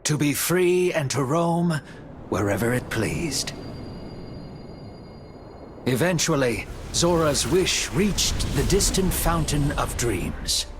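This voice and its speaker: A man narrating a video game story cutscene in a measured, dramatic storytelling manner. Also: a little high, animated, background noise. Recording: music under the voice. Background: music.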